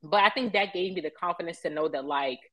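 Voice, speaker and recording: A woman's voice: fairly low pitch, quick pace, a bit bright, dry recording. An American woman in her thirties talking conversationally as an interview guest, recounting experiences and voicing remembered dialogue. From Baltimore, United States. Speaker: interview guest, media professional. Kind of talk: conversational personal storytelling.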